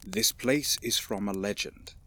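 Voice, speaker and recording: A man playing a stern, cautious alien warrior in a science fiction audio drama, formal and deliberate in his speech. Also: lowish voice, fairly bright tone, slight background noise. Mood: grave, probing.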